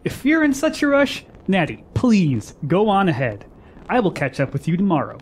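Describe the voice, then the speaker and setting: Flippant male pleasure-seeker, fantasy audio drama, acted by a man. Animated high voice, defiant, theatrical, slight background noise. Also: moderate pace. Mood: breezy, teasing. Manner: mocking, theatrical, insistent. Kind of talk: fantasy radio drama acting.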